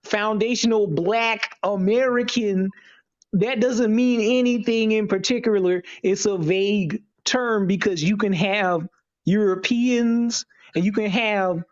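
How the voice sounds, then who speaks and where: A man in his late twenties explaining his views in a halting, deliberate way as a guest in an online discussion. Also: high-pitched, dull audio. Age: 20s.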